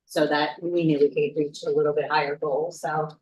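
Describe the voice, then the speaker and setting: A woman with a notably low voice explains a point at a board meeting in a plain, low-key way. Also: level tone, normal speed, a little mellow, low-quality recording.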